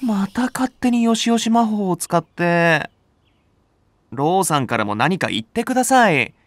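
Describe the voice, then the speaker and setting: Eager young male teacher, lively swooping intonation, polite, dry studio voice drama. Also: sits high, a little slow, no room sound. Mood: earnest concern. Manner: polite, enthusiastic. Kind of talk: Japanese voice drama acting.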